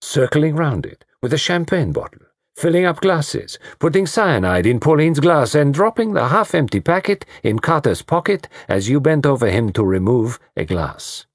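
A man voicing a fussy, commanding detective, pompous and precise, in a slightly husky voice. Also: fairly high pitch, sing-song, moderate pace, no room sound, studio quality, pitched above the performer's own voice. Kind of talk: audiobook reading.

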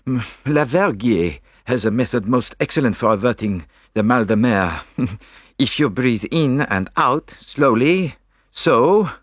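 A man voicing a fussy, confident little detective with a French accent, speaking in a halting, theatrical way for an audiobook story. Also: mid-range voice, lively intonation, slightly rough, no echo, studio quality, pitched above the performer's own voice. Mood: seasick, suffering.

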